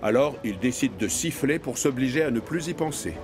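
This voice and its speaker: A man tells a scripted children's cartoon story as narrator. His medium-pitched voice rises and falls expressively, with music clearly playing behind it. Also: animated, brisk.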